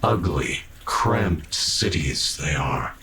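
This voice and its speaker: A deep, slow-spoken cyborg guardian of great age, acted by a man, gentle and awkwardly kind. He picks his words with halting formality in an audio drama, over clearly audible noise. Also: expressive, exaggerated voice. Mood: storytelling. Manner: halting, careful, softly formal. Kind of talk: fan audio drama acting.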